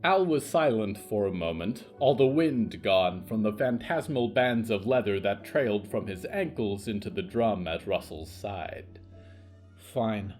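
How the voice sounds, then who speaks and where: A man's voice: lowish voice, animated, measured pace, hardly any pauses, darkish tone, faint music behind. A man narrating a scripted, atmospheric story as its narrator.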